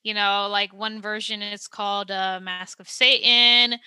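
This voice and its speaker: A young adult woman talking casually as a podcast guest, often pausing with ums and restarting her sentences. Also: medium pitch, steady tone, sharp tone, clean tone, no echo. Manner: hesitant, many ums and restarts.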